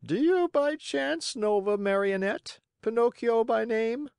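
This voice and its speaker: A storyteller voicing a kindly, helpful talking pigeon in a high voice with a measured, gently instructive manner. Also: creaky, smooth, no echo, studio quality. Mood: inquiring. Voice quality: high-pitched.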